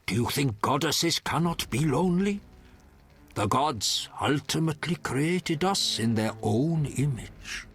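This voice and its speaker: An actor playing a solemn, reverent hermit in a fantasy video game, with formal, measured phrasing and a husky voice. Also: male voice, on the high side, lively intonation, fairly bright tone, faint music behind, full character voice. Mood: reverent, wistful.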